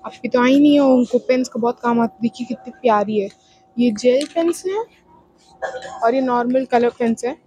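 A woman's voice: a little high, moderate pace, warm tone, breathy edge, low-quality recording. A young woman chatting directly to her viewers as she walks through a shopping mall for her vlog. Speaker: vlogger. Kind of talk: casual shopping vlog narration. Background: music.

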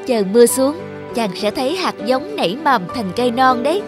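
A woman playing a gentle, devoted young fairy maiden in a cartoon fairy tale, speaking politely and earnestly. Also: high voice, expressive, normal speed. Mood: gentle, bright. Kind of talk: animated fairy tale acting. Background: background music.

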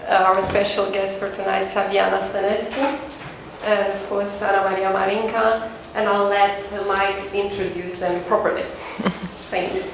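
A woman giving an informal, halting welcome speech to open an event. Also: medium pitch, flat delivery. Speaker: director of cultural centre, opening the event. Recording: very echoey hall, distant mic, noisy.

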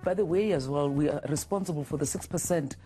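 Middle-aged woman CEO guest, dark mellow tone, slow halting answers, lapel mic in a TV studio. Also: low-pitched, husky, faint music behind. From South Africa. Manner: measured, halting with fillers and restarts. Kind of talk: TV morning show interview. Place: TV studio set.

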